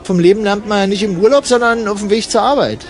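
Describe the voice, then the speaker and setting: A man playing a bored, contrary travel companion, deadpan and colloquial, in a conversational radio play. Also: high voice, fast talker, faint music behind, natural voice. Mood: sardonic.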